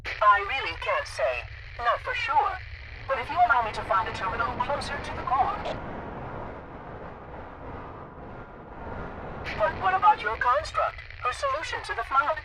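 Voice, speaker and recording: A man's voice: extremely high pitch, wildly swooping pitch, fairly quick, heavy background noise, heavily acted. A man voicing a fussy, chatty, polite robot caretaker in a video game, with prim, precise diction. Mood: anxious, polite. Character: fussy, chatty, polite floating robot monitor of an ancient installation. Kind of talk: video game cutscene voice acting.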